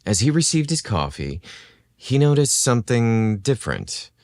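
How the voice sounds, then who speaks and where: A man reading a scripted romance story as a podcast narrator, in a dramatic, expressive storytelling manner. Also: mid-range voice, moderate pace, dry recording, studio quality.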